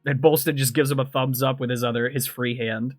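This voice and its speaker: Game master with dry, close sound. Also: male voice, mid-range voice, steady tone, fairly quick, no echo, faint music behind. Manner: conversational, descriptive. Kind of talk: improvised tabletop game narration.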